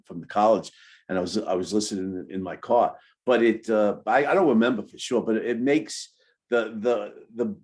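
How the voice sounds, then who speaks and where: A man with a Brooklyn accent talking casually in an interview, haltingly, repeating words and phrases as he goes. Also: fairly low pitch, a little mellow. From Brooklyn, New York. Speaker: author being interviewed.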